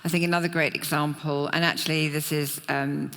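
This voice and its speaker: A woman on a trade fair panel talks in a flat, even tone, full of ums and restarts. A long hall reverb trails after her words. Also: low voice, brisk. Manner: flat delivery with many fillers. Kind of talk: panel discussion.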